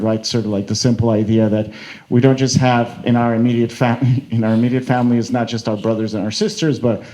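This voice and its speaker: A man moderates a conference panel, asking his questions conversationally. He thinks aloud as he goes, circling his point with fillers before landing on the question, in a middle-pitched voice. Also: mellow, poor audio.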